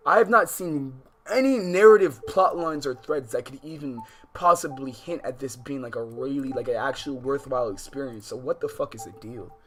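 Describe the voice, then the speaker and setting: A man's voice: medium pitch, normal speed, hardly any pauses, fairly bright tone. A man livestreaming a visual novel, mixing casual, slangy, swearing chat with reading game text aloud, often exasperated. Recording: music behind the voice. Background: game music.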